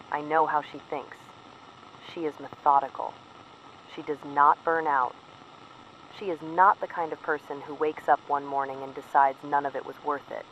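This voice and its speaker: A dull, faintly noisy field recording carries a slightly high-voiced actor as a male resistance operative. He reports in short, precise sentences, measured and troubled, as in a dystopian audio drama. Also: natural voice. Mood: guarded, precise.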